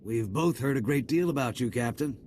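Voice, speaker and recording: An actor playing a grave, formal supreme military commander in a video game, speaking in a measured way over background ambience. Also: male voice, medium pitch, a little mellow, slight background noise, lightly acted. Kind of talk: video game dialogue acting.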